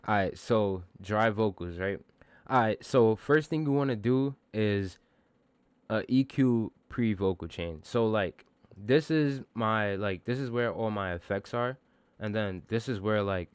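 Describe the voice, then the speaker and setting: A man with a bassy voice giving a casual, slangy music production tutorial, informal and self-deprecating, with some swearing. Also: clear, resonant tone, no room sound.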